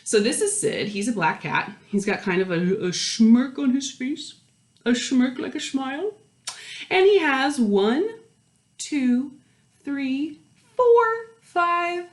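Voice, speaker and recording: A woman reading a picture book aloud to young children, expressive, with questions and asides to her listeners. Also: high-pitched, takes it slowly. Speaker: children's storytime host and story reader. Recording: roomy, echoey indoor sound.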